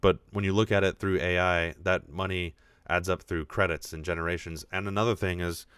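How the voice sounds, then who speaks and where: A man with a deep voice hosting a live podcast, talking casually and animatedly, thinking out loud with frequent restarts. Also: fairly even intonation, fairly quick, slight background noise. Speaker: podcast host.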